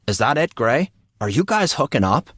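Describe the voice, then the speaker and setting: A man voicing a teasing, excitable teenage schoolboy in an audio drama, questioning and exclaiming with mock drama. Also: mid-range voice, moderate pace, husky, dry recording, studio quality, pitched above the performer's own voice. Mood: eager. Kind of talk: audio drama character dialogue.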